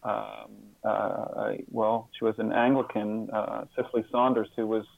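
A man being interviewed as a guest, answering reflectively in a halting, thoughtful way with pauses and repeated words. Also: fairly low pitch, level tone, creaky, dry recording, narrow, tinny audio. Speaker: interview guest, author and hospice volunteer.

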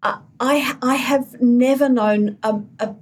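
A woman from New Zealand, speaking as a guest on a political talk panel. She is animated and wry, and often restarts her sentences in loose, casual chat. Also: high-pitched, slow pace.

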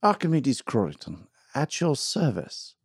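A man voicing a flustered, polite young gentleman who stammers awkwardly. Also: mid-range voice, huge pitch swings, measured pace, gravelly, slightly rough, no room sound, clean studio sound, voice pushed up, full character voice.

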